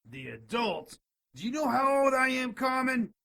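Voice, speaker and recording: An actor playing a whiny, cocky demon informant in an audio drama, indignant, sarcastic and a bit sleazy. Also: male voice, very high voice, big pitch swings, normal speed, vocal fry, sharp tone, full character voice.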